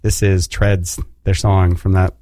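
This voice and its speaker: A man hosting a casual radio interview, chatty and quick to laugh, with loose fillers as he talks. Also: sits low, flat delivery, warm tone, no echo, studio quality. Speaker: radio host. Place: radio studio.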